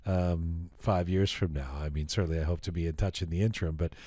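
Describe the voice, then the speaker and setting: Low-voiced male podcast host, dry sound. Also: steady tone, fast, dry recording. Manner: effusive, warm praise, repeats himself. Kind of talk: conversational interview.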